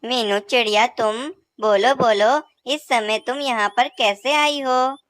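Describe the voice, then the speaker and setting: A woman's voice: on the high side, steady tone, measured pace, fairly bright tone, dry recording. A woman voicing a proud male mango tree in a cartoon, grown boastful about his fruit. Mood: friendly. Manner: pleading then haughty. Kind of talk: cartoon voice acting.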